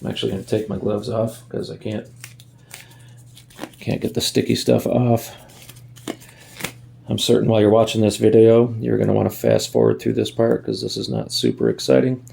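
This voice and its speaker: A man's voice: fairly low pitch, flat delivery, normal speed, creaky, pauses often, warm tone, a little hiss or hum. A man giving a casual hands-on tutorial in a folksy way, thinking aloud as he works, recorded on a phone. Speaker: amateur DIY video maker.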